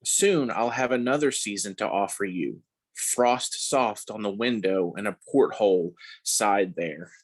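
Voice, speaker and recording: Low-voiced man reading poetry slowly. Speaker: poetry reader at a congregation service. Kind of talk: reciting a free-verse poem.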